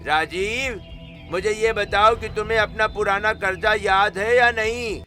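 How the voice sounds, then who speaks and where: A man's voice: high voice, measured pace, pushed and bright, soft background music, voice pushed up. A man voicing a greedy, domineering village landlord in a cartoon moral story, speaking in threats. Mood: threatening. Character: menacing greedy male village landlord and moneylender.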